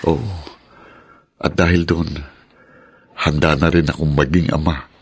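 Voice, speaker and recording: An earnest, devoted man in a radio drama, voiced by an actor. His low voice is gravelly and husky, and he speaks in a hesitant, heartfelt way. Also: steady tone, unhurried, full character voice.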